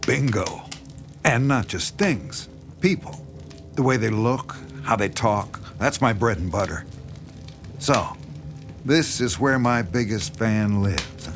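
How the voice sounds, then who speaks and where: Lowish voiced horror author, folksy but creepy and knowing, radio play with music behind. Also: male voice, lively intonation, takes it slowly. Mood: self-assured, sly. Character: smooth-talking, subtly sinister, self-assured male horror writer. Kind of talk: naturalistic audio drama dialogue.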